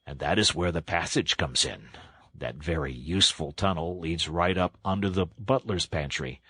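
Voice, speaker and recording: A man voicing an older, commanding badger with a dry, measured manner in a children's storybook. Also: low-pitched, sharp tone, husky, no echo, full character voice. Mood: measured, scheming.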